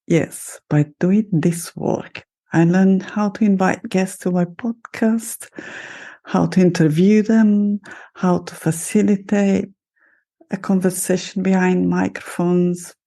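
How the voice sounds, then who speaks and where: A woman's voice: on the low side, fairly even intonation, slow pace, dark tone. A middle-aged woman with an Italian accent, a podcast host and life coach talking alone to her listeners in an upbeat, proud manner. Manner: upbeat, self-celebrating, talks straight to listeners. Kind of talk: solo podcast monologue.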